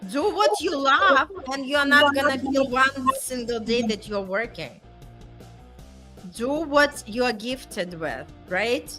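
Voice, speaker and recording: A woman's voice: sits high, lively intonation, takes it slowly, bright, forceful tone, soft background music. A woman in casual conversation, speaking earnestly and encouragingly, often checking in with "right?". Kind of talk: casual motivational chat.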